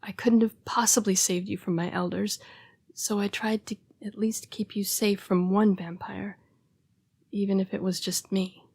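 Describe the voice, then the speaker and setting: A young female vampire, acted by a woman, speaks in a soft, breathy half-whisper with little rise or fall. She is gentle, earnest and apologetic, halting as she fights her bloodlust. The delivery is an intimate, dramatic audio roleplay monologue. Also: mid-range voice, level tone.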